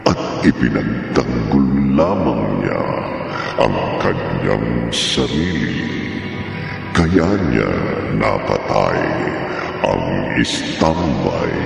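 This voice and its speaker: A man acting as the sinister, menacing storyteller of a horror radio drama, narrating theatrically in a slow, dramatic delivery. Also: very deep voice, vocal fry, exaggerated voice. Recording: cavernous echo with long reverb. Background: eerie music.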